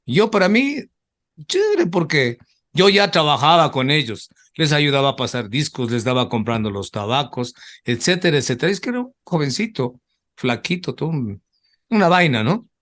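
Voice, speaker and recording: A man's voice: a little high, big pitch swings, moderate pace, a bit bright. Older man, former radio announcer. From Ecuador. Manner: rambling storyteller, trails off with repeated asides. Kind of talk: reminiscing in an interview.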